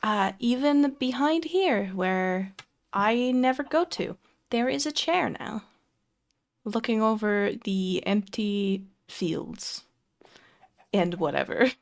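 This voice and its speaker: A young woman from Finland, chatting casually with fillers and soft laughs while touring her game build. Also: mid-range voice, slow. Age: young adult. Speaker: Minecraft let's play creator. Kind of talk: casual gameplay commentary.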